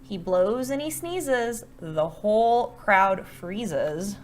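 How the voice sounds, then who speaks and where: Woman reading a story, very animated. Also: mid-range voice, a little hiss or hum. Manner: drawn-out, dramatic delivery with playful emphasis. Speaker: storyteller reading a picture book aloud. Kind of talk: expressive children's story reading.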